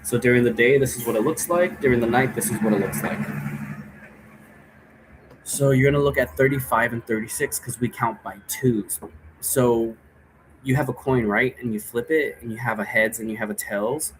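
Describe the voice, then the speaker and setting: A man's voice: mid-range voice, normal speed, a little hiss or hum, poor audio. A man giving a casual, rambling tutorial to viewers in an informal, repetitive way, often checking in as he goes. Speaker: host giving an occult divination tutorial.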